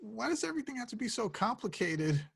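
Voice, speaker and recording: A man's voice: extremely high pitch, very animated, quick pace, gravelly, husky, exaggerated voice. A man playing an awkward, lovesick young slacker in a table read, plaintive and hesitant. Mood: mopey, whiny. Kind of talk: table read of a comedy film script.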